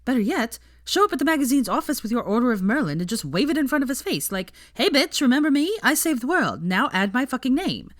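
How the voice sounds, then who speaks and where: A woman's voice: high-pitched, big pitch swings, fast talker, few pauses, no echo, clean studio sound, voice pushed up. A woman voicing a brash, theatrical, foul-mouthed young woman in an audiobook story, gushing and emphatic. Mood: blunt, cheeky. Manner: gushing, casual, emphatic, swearing. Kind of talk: fan fiction audiobook character voice.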